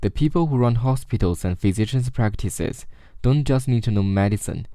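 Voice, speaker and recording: A man reading short study passages aloud in a measured, formal way. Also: mid-range voice, expressive, dark tone. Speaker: reader of practice passages. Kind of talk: scripted read-aloud of study texts.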